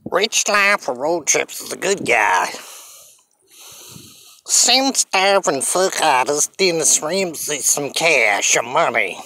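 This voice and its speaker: Slow gravelly older man vlogging outdoors. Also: fairly high pitch, pushed and bright, dry recording. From Canada. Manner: slow, drawling, repetitive self-promotion. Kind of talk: rambling selfie vlog. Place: outdoors on a sunny hillside street. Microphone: phone at arm's length.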